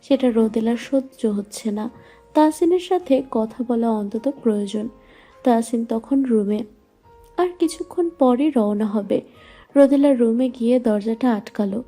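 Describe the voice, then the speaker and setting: Very mellow, higher-voiced woman narrator. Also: measured pace. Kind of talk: scripted romantic story narration. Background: faint music.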